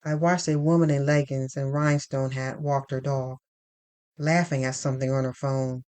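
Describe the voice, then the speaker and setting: A woman reading first-person dramatic fiction in a deliberate, expressive storytelling manner. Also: very deep voice. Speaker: narrator.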